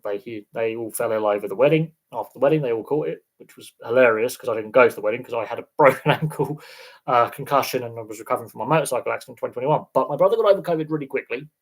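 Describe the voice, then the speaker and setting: A man talks casually to his livestream audience, rambling and halting as he restarts his sentences. His voice is a little congested from a sniffle. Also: mid-range voice. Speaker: sports channel host.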